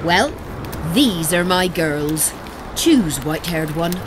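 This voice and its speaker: A coy, mercenary madam from a fantasy video game. She drawls unhurriedly, her pitch swinging widely as she flirts and bargains. There is clear noise behind her voice. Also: expressive, slow. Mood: shrewd, teasing. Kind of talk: dramatic video game acting.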